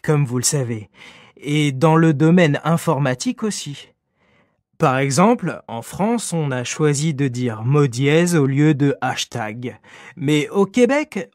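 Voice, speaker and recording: A man with a fairly high voice explains vocabulary slowly and calmly. He speaks with care in a dry studio sound. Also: no echo. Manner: clear, measured explanations. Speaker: interviewed language expert. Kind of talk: scripted educational interview.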